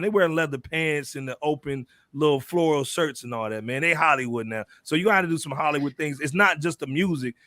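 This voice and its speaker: A man's voice: a little high, fairly bright tone, dry recording. A man hosting a panel podcast, talking casually in slangy banter and repeating himself for emphasis. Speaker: podcast host moderating a panel. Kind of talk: animated casual music talk.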